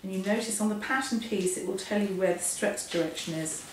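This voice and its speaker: Hoarse woman teaching sewing in an echoey room. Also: medium pitch, a little fast, distant mic. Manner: chatty, self-deprecating, explains step by step. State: hoarse, losing her voice from a cold. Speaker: sewing instructor. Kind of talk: hands-on sewing tutorial. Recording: echoey room, off-mic, faint noise.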